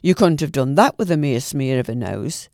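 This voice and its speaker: A storyteller voicing a wise, deliberate rock python in a storybook reading. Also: mid-range voice, sing-song, darkish tone, no room sound, studio quality. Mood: sly. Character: wise old python snake.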